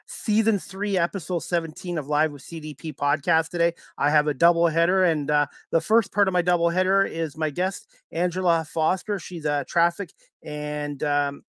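A man hosting a casual podcast, talking in a halting way with many fillers and restarts. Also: a little high, fairly even intonation, a bit bright, no echo, clean studio sound. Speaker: podcast host.

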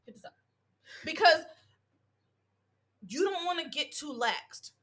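Animated high-voiced blunt woman. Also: takes it slowly. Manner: blunt, animated, acts out scenes with cursing. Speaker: podcast co-host; work supervisor. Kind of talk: casual chat.

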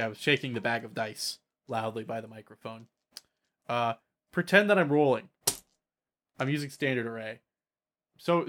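A man's voice: medium pitch, fairly bright tone. A man hosting a casual tabletop gaming podcast, explaining his ideas and checking that others follow. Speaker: podcast host. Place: online voice call, recorded at own microphone.

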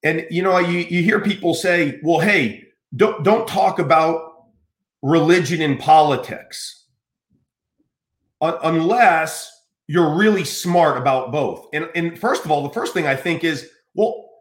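A man's voice: on the high side, unhurried, echoey room. A middle-aged American man hosting a podcast, talking earnestly in a casual interview with many restarts. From United States. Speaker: podcast host.

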